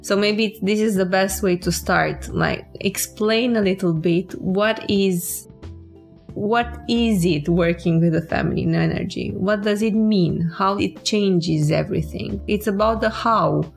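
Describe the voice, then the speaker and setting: Woman, podcast co-host, low gravelly voice, reflective, faint music behind. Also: unhurried. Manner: reflective, searching for words. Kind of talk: casual podcast conversation.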